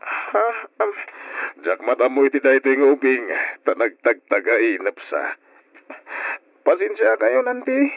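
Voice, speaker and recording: A dull, dry radio drama recording carries an anxious young nephew. He speaks with an Ilocano accent in emotive exclamations, his pitch leaping about, devoted and eager to please. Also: huge pitch swings, a little slow, vocal fry, no echo, full character voice. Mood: alarmed, shaken.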